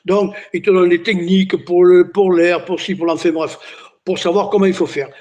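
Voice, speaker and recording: Chatty older Italian-born man, high voice. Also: fairly even intonation, moderate pace, darkish tone. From Italy. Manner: chatty storyteller, many restarts and "hein". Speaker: singer and entertainer, guest. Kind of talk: casual interview chat.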